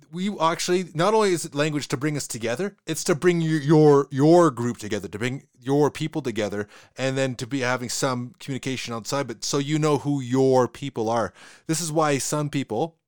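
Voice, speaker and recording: A man with a Western Canadian accent, talking fast in casual conversation and often repeating his words. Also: fairly high pitch, dry recording, clean studio sound.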